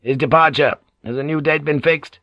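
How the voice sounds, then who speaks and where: A man's voice: fairly high pitch, fairly even intonation, normal speed, no echo, highs cut off, pitched above the performer's own voice, close to an everyday voice. A man voicing a brusque, impatient samurai lord in an audiobook, curt and probing. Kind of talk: audiobook character dialogue.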